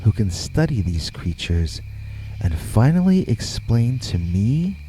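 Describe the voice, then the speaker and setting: A man reading a scripted first-person scary story in a measured, deliberate way, with pauses between phrases. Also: fairly low pitch, big pitch swings, mellow, a little hiss or hum, rough recording. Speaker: horror story narrator.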